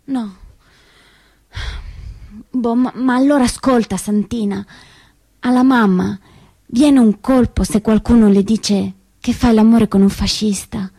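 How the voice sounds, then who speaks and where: An actress playing a spirited, cheeky teenage partisan girl, telling her story in an animated, dramatic stage monologue. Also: fairly high pitch, slow pace, long pauses, husky, heavily acted. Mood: pleading, worried. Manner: expressive, dramatic phrasing, shifts between voices.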